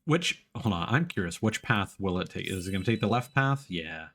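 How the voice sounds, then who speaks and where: Lively, low-voiced man streaming a game. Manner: halting, thinking aloud. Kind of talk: casual live gameplay commentary.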